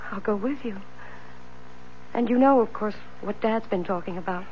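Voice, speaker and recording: An actress playing an assertive, direct, worldly woman in a 1970s radio drama, speaking emphatically and deliberately. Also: mid-range voice, a little hiss or hum, natural voice. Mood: tender. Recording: dull vintage radio recording.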